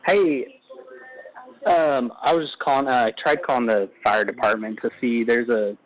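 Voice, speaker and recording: Male emergency caller, mid-pitched, hesitant, thin muffled phone-line sound. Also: steady tone, normal speed. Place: on a phone call to dispatch. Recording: muffled narrowband phone call.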